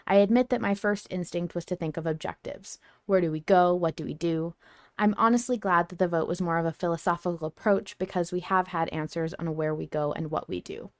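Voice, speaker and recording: A woman's voice: lowish voice, no room sound, studio quality. A woman hosting a casual fan podcast, talking in an effusive, gushing way. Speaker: podcast host.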